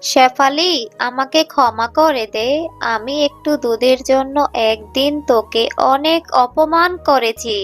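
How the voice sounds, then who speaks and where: A woman's voice: high-pitched, takes it slowly, faint music behind. A woman voicing a remorseful older tigress aunt in a cartoon, contrite and emotive. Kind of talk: cartoon voice acting.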